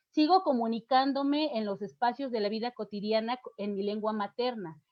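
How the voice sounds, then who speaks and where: Mexican woman teacher lecturing, muffled. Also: a little high. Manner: explanatory, poses questions and answers them, frequent fillers and restarts. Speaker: literature teacher giving a lecture. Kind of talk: academic lecture to students.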